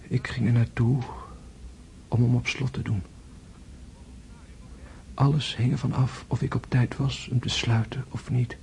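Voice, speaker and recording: An actor playing a gravely wounded prince in a radio drama, tender and philosophical, speaking in hushed, halting, weakened tones. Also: male voice, mid-range voice, little pitch movement, a little hiss or hum. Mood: haunted, fearful. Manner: hushed, halting, intense delivery, often whispering. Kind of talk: Dutch radio drama acting.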